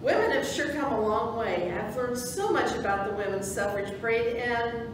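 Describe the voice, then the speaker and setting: A woman playing an earnest, wonderstruck modern researcher of history, halting and exclamatory, in amateur reader's theatre. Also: a little high, expressive, lightly acted. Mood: flustered. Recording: echoey, noisy room from far off.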